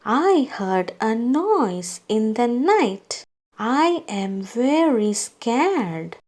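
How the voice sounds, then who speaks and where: Female read-aloud storyteller for small children. Very unhurried pacing, animated intonation, scripted character dialogue. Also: a little high, soft background music. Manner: slow, deliberate delivery for young children.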